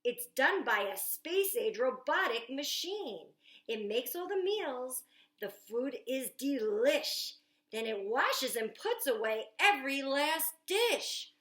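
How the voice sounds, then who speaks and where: An imaginative young boy proudly leads a tour of his invented house, as a woman reads him aloud. The storybook rhymes bounce along slowly, high and swooping. The sound rings in an echoey room. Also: very animated, pushed and bright, full character voice. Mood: proud, excited. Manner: bouncy rhyming read-aloud, stretched words.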